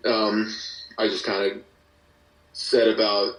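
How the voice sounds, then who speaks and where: A man's voice: fairly low pitch, flat delivery, takes it slowly, a bit bright. A young American man chatting casually as an interview guest, explaining things in a rambling, self-interrupting way. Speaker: podcast guest, musician and audio drama creator. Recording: roomy echo, distant from the mic.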